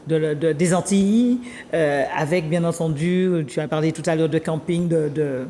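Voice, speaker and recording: A woman's voice: low-pitched, moderate pace, dark tone. A woman hosting a TV interview, asking playful, teasing questions. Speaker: TV host. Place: TV studio. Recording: reverberant room with faint noise.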